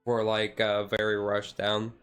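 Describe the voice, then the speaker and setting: A man's voice: lowish voice, monotone, a little slow, a bit bright, smooth. A man streaming a casual, laid-back ASMR chat in a rambling way, with music behind him.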